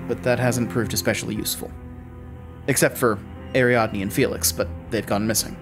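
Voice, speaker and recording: Man playing a stern, deliberate investigator, music behind. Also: fairly low pitch, steady tone, close to an everyday voice. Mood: measured, probing. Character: driven, stern male investigator interrogating a suspect. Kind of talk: audio drama acting.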